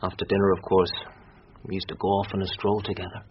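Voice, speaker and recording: An actor with a British accent playing an earnest, defensive, well-spoken husband under questioning in a radio drama. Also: male voice, deep voice, flat delivery, fast, husky. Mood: defensive, candid. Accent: British English. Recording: muffled radio broadcast, hissy with clicks.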